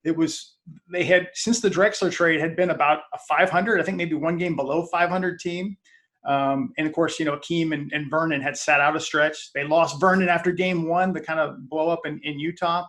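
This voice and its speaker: A man's voice: fairly high pitch, fairly even intonation, moderate pace, a bit bright. A man speaking as a guest on a video call, giving casual, reflective sports fan commentary.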